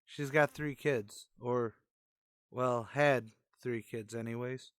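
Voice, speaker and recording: A man's voice: mid-range voice, fairly even intonation, slow, clean tone, no echo, studio quality, lightly acted. A man playing a dutiful, somber police officer in a radio crime drama, with plain, clipped cop talk.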